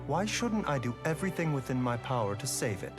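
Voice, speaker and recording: Resolute, gentle male doctor played by an actor, mid pitch with lively movement, fantasy game dialogue over music. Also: a little fast, natural voice. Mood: calm, resolute. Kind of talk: scripted fantasy game acting.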